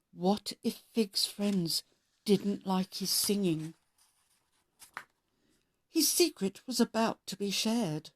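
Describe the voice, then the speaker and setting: Lady reading a kids story out loud, really slow n expressive, medium pitch. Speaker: storyteller, host of a read-aloud show. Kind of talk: children's story narration.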